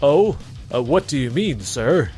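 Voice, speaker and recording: A man's voice: mid-range voice, very animated, measured pace, warm tone, soft background music. A man playing a defensive, blustering middle manager in an anime-style manga dub. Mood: nervous, placating. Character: defensive, flustered male middle manager, eager to placate.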